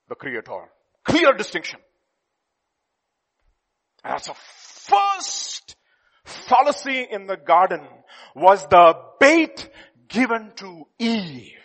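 Animated preacher in a reverberant hall. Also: male voice, high voice, breathy edge. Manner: emphatic, swinging between loud and hushed. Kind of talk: sermon.

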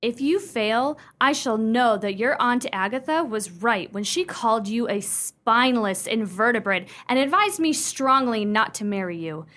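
Woman acting imperious young society fiancée, high-pitched, prim, stern, formal diction, indignant, radio play. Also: animated, a little slow, hardly any pauses, fairly bright tone. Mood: commanding.

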